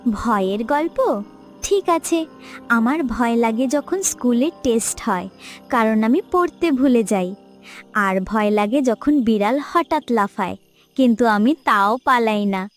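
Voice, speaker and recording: Cheeky, fearless cartoon little girl, adult female actor, high animated voice, playful exclamations, music underneath. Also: unhurried. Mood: boastful. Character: bold, cheeky, curious young village girl. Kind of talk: children's cartoon voice acting.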